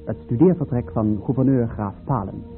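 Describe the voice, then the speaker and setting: A man's voice: mid-range voice, normal speed, creaky, phone-quality sound. A man announcing a scripted radio drama as its presenter, on an old radio recording. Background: music.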